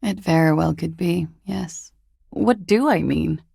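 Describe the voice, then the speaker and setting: A woman playing a jaded, evasive young disco dancer in a video game, talking in a languid, wry and guarded way with trailing pauses. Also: fairly low pitch, gravelly, mellow, husky, dry recording, studio quality, exaggerated voice. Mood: evasive, measured. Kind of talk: video game character dialogue.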